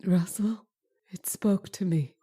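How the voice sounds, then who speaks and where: A woman's voice: medium pitch, takes it slowly, mellow, slightly rough. A woman playing a terrified, clinging, pleading woman in old-time radio horror style, repeating words as she goes. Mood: horrified.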